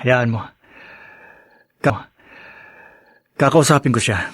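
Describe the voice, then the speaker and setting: An actor playing an earnest, conciliatory young husband in a radio drama, with a Filipino accent. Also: male voice, medium pitch, takes it slowly, breathy edge, no room sound, clean studio sound. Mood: gentle, worried.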